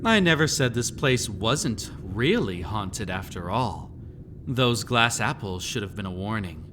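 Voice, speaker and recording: A man playing a mysterious, gleefully sinister keeper of a haunted house in an audio roleplay, speaking to the listener with teasing, drawn-out, theatrical phrasing. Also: lowish voice, animated, fairly bright tone, a little hiss or hum. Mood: gloating menace. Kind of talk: scripted immersive audio roleplay, storytelling.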